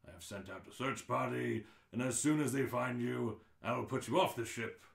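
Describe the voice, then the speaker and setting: A man's voice: mid-range voice, normal speed, creaky. A man playing a spiteful, officious alien ship captain making a curt, menacing announcement in an amateur radio play. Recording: roomy, echoing live-stream audio.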